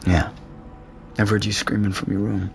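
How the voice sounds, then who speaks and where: A loyal, worried friend in audio fiction. His voice is on the low side, with a natural, blunt delivery and dry teasing. Soft music sits faintly behind him. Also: flat delivery, moderate pace, creaky. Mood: concerned, blunt. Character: concerned, teasing male friend of an addict.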